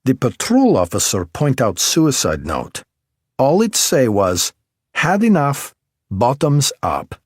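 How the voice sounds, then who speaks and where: A man's voice: mid-range voice, huge pitch swings, takes it slowly, breathy edge, dry recording, clean studio sound, pitched above the performer's own voice, exaggerated voice. A man voicing a brusque middle-aged woman with a Belarusian accent who works as a medical examiner technician and speaks blunt, broken English.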